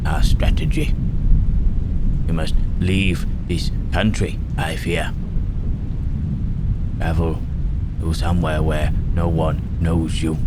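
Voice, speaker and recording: A man playing a gentle, melancholy Frankenstein's monster in an ASMR roleplay, speaking haltingly and thoughtfully in old-fashioned, formal phrases. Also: a bass voice. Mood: wistful, grave. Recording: rain and thunderstorm noise throughout. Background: rain and thunder.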